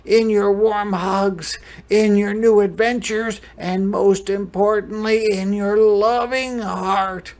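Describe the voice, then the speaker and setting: A man voicing an affectionate, encouraging grandfather in a storybook, speaking tenderly in drawn-out phrases. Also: high-pitched, steady tone, darkish tone, voice pushed up.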